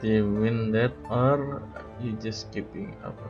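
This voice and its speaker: A man's voice: on the low side, fairly even intonation, unhurried, muffled recording, over music. A man with an Indonesian accent giving casual live gameplay commentary as a streamer. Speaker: game livestreamer.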